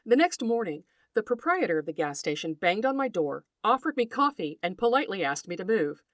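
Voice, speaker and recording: A middle-aged woman hosting a podcast, reading a scripted narration in an articulate, deliberate storytelling way and speaking directly to her listeners. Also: mid-range voice, sing-song, no echo, clean studio sound. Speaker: podcast host, writer and folklorist.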